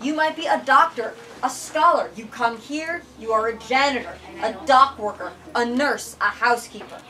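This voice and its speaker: A woman giving a theatrical, expressive reading aloud in a bookstore, adding her own commentary. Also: on the high side, moderate pace, far from the mic. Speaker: reader leading a bookstore literary talk.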